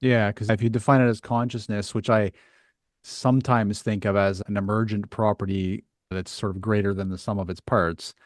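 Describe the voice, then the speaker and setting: Thoughtful low-voiced man hosting an interview. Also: little pitch movement, normal speed, no echo, studio quality. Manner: thoughtful, tentative phrasing. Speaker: podcast host. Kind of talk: interview conversation.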